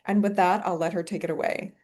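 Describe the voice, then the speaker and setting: A woman's voice: lowish voice, fairly even intonation, fast talker, darkish tone. A woman hosting a seminar, giving an enthusiastic, formal and partly read speaker introduction.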